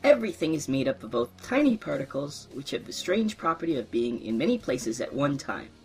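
A shrill, excitable old philosopher. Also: male voice, a little fast, poor audio. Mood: excited. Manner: eager, argumentative, high and lively.

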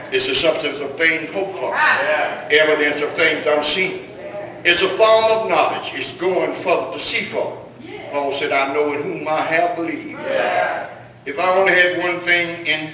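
An older man preaching a church sermon in an emphatic, declamatory way, building through repeated refrains. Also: on the high side. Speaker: preacher, guest reverend. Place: large church sanctuary, at a pulpit. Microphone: far camera in the congregation. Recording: echoey church hall, distant mic, congregation noise.

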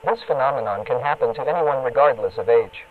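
A man playing an official emergency alert announcer, reading a scripted warning in a formal, impersonal, measured way through a radio filter. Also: medium pitch. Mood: formal, grave. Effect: radio broadcast filter. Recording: narrow, phone-like radio broadcast sound.

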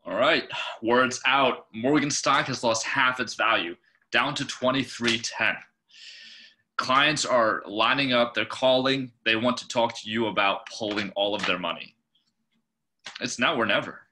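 A man's voice: mid-range voice, measured pace, bright, forceful tone, slight background noise. A young man voicing an anxious, pushy male fund trader who keeps pressing to sell, read at a table read over a video call. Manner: urgent, insistent, pressing. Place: small home room. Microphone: webcam on a video call.

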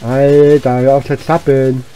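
A man with a very dark, mellow voice comments casually on a game he is playing. He talks as if thinking aloud, in an unhurried way, with a soft-edged, muted tone. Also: medium pitch, little pitch movement, smooth, lo-fi sound. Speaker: gaming video host. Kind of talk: casual let's-play commentary.

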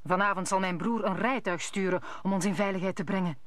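A woman's voice: mid-range voice, fairly even intonation, fast talker, no echo, close to an everyday voice. A woman playing a cunning, villainous noblewoman posing as a friend in an old Dutch radio play. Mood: urgent, deceitful.

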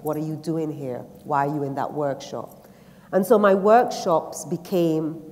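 A woman's voice: low-pitched, darkish tone. A woman from Guyana speaking on a literature festival panel, reflecting on her own life with many ums and restarts, then reading aloud. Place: theatre stage before an audience. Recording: long reverb of a large hall.

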